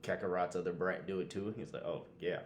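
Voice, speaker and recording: A man's voice: deep voice, fairly even intonation, quick pace, a little hiss or hum. A man co-hosting a podcast, chatting casually and slangily about anime. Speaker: podcast co-host.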